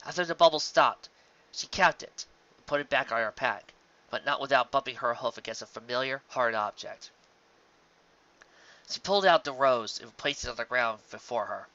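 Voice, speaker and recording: A narrator giving a measured, scripted dramatic story reading, occasionally stumbling over words. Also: mid-range voice, sharp tone, lo-fi sound. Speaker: amateur audiobook narrator.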